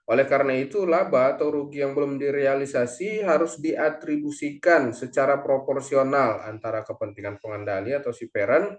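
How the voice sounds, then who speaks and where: A man's voice: medium pitch, brisk, few pauses. Echoey man giving an explanatory lecture. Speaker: accounting lecturer or presenter. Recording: echoey, reverberant room sound.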